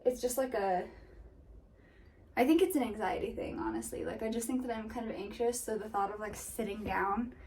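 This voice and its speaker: A woman's voice: on the high side, normal speed, darkish tone, a little hiss or hum, poor audio. A young woman with a cold and a congested, nasal voice, chatting casually in a rambling reading update full of fillers. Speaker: book vlogger.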